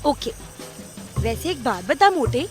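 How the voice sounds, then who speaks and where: A woman's voice: high voice, sing-song, slow pace, exaggerated voice. A woman playing an outspoken young business partner in an audio drama, casual and indignant, mixing in English words. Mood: questioning, sulky. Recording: music under the voice.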